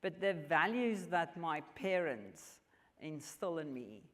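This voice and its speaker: A woman in her fifties from South Africa, with a South African accent and Afrikaans as her first language, answering interview questions in an earnest, measured way with frequent restarts. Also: on the low side, sing-song, a bit bright. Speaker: mining executive guest. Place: large function hall. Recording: long hall reverb.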